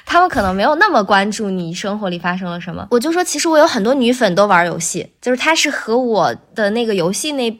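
A woman's voice: mid-range voice, sing-song, fast, few pauses. A woman talking as a guest voice actor in a casual podcast chat, with a tentative, self-qualifying way of speaking that often repeats phrases.

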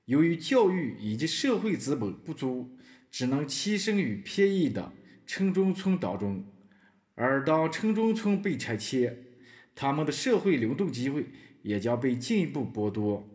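A man's voice: medium pitch, sing-song, unhurried, a little mellow. A man playing an analytical newspaper reporter giving formal, academic expert testimony in a podcast courtroom drama. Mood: measured, analytical.

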